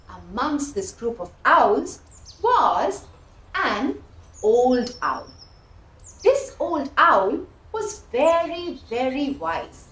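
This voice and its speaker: A woman telling a children's story in an expressive, deliberate way, addressing young listeners directly. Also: high voice, reverberant, poor audio. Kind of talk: animated scripted storytelling for children.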